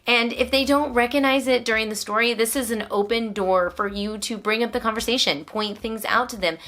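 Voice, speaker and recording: Bright-voiced woman explaining to parents. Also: a little high, fairly even intonation, fairly quick. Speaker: homeschooling mother and vlog host. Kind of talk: explanatory vlog narration to parents.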